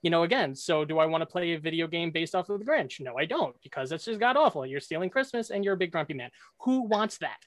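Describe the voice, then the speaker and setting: A man with a high voice argues his side in a casual podcast debate. The sound is dry and close, and he tends to repeat and rework his phrases. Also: animated, quick pace, hardly any pauses, fairly bright tone, no echo.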